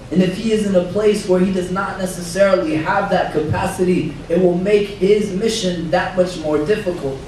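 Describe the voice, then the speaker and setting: A hoarse man speaks as an imam at a dinner, measured and reflective, reciting Arabic passages. His slightly high voice sounds distant in a very roomy hall, with faint noise behind him. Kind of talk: religious talk at a dinner. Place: banquet hall.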